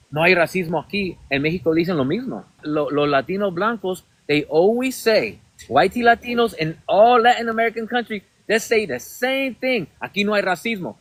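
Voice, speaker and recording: A Mexican-accented man with a notably high voice makes his case on a podcast livestream. He is emphatic and insistent and slides back and forth between English and Spanish. The sound is close and dry. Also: fairly bright tone, dry recording. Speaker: podcast host. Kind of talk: heated livestream debate.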